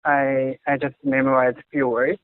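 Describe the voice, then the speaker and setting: A young Korean man speaking English with a Korean accent as an interviewee, halting and searching for words, often restarting. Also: medium pitch, level tone, dry recording. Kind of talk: casual conversation in English. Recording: tinny phone-like audio.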